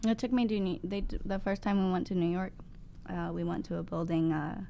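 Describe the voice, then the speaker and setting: Woman, gravelly vocal fry, chatty guest spinning an anecdote. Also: on the low side, a little fast. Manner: storytelling, animated quotes. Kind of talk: casual chat.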